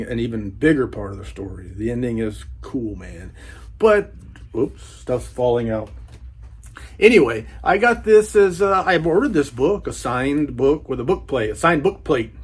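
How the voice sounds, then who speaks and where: A man's voice: medium pitch, breathy edge. A man giving a casual book review as a channel host, enthusiastic, with a laid-back, slangy way of talking.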